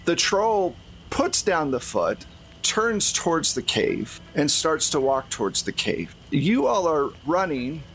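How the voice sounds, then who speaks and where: A man's voice: on the high side, big pitch swings, takes it slowly, a bit bright, a little hiss or hum, rough recording. A man running a tabletop roleplaying game as game master, narrating and explaining in a conversational way.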